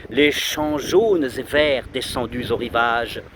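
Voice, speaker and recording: Wind buffets the microphone and the sea rumbles around a man reciting verse. His delivery is slow and solemn, with a declamatory weight and long dramatic pauses between phrases. His voice sits at an ordinary middle pitch for a man. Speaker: poetry reciter. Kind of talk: outdoor recitation of a 19th-century poem. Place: outdoors under trees on a windy seashore. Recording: loud wind buffeting the mic, roaring sea.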